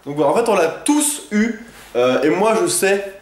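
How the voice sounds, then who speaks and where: A man's voice: sits high, very animated, moderate pace. Chatty young man with a stuffy cold. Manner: rambling and chatty, lots of hesitations and slang. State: congested with a head cold. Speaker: vlogger. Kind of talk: casual daily vlog chat. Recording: echoey room.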